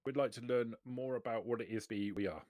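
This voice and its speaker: A man's voice: mid-range voice, fairly quick, darkish tone, no echo, clean studio sound. A man playing a tabletop roleplaying game, talking casually and improvising as he goes.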